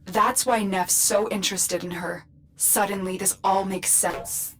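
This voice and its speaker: A woman playing a composed, analytical mission guide in a video game, measured and serious. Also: medium pitch, steady tone, crowd noise, lightly acted. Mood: thoughtful. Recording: music behind the voice.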